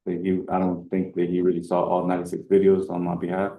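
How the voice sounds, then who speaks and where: A man's voice: sits low, monotone, quick pace, warm tone. A man testifying as a defendant, giving terse, hesitant, non-committal answers in an echoey courtroom. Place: indoor courtroom.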